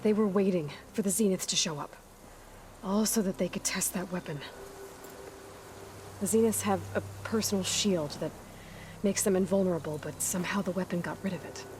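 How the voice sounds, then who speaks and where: A woman's voice: lowish voice, normal speed, pauses often, noisy background, natural voice. An actress playing a determined, guarded young female explorer in a video game, speaking in a matter-of-fact, focused way. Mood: focused, musing. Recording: game music behind the voice.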